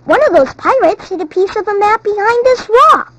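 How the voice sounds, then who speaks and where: A woman's voice: very high-pitched, animated, moderate pace, fairly bright tone, no room sound. A woman playing an eager, admiring little boy in a cartoon game, with a childlike, earnest delivery. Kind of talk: cartoon video game acting.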